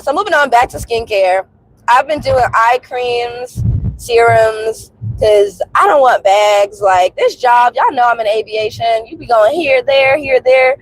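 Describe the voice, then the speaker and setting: A woman's voice: on the high side, a little hiss or hum, poor audio. A woman in her twenties chatting casually and candidly to her viewers in an emphatic, colloquial way, sounding stuffy from a cold. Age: 20s. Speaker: host of a personal commentary vlog. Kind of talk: casual, confessional chat to viewers.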